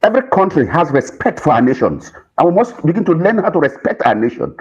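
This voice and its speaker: A man's voice: fairly high pitch, very animated, quick pace, darkish tone, breathy edge, lo-fi sound. A Nigerian man arguing as a guest on a political panel, emphatic and repetitive, with rhetorical questions.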